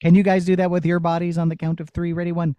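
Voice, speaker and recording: A man working as a puppeteer, giving an animated, simply worded demonstration for children. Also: on the high side, quick pace, clean tone, no echo. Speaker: puppeteer teaching a class.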